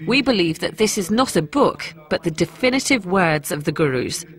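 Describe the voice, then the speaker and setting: A woman's voice: lowish voice, expressive, moderate pace. A woman with an English accent presenting a personal documentary, in narration and to camera. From England.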